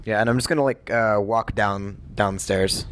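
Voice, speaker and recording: A man playing a tabletop game, chatting casually in a hesitant, halting way. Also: on the low side, fairly even intonation, a bit bright. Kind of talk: casual chat during a game. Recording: noisy room recording.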